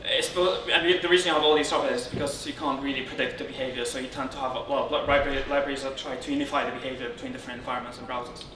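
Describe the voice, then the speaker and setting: A man from Syria giving an informal technical conference talk as a speaker, often halting and rephrasing as he goes. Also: mid-range voice, steady tone. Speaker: conference speaker, software consultant. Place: indoor darkened conference room, on stage beside a lectern. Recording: roomy, distant, noisy room sound.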